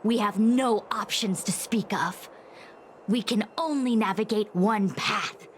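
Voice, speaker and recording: A woman voicing a solemn, fatalistic master diviner in a game, speaking in a measured, lecturing way. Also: mid-range voice, slight background noise, natural voice. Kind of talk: scripted game voice acting. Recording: background music under the voice.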